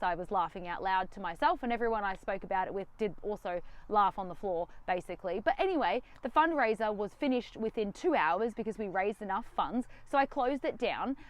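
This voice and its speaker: A young woman, talking straight to camera from her van in a defiant, animated, sarcastic way. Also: medium pitch. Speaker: vlogger. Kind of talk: casual direct-to-camera talk. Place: inside a van.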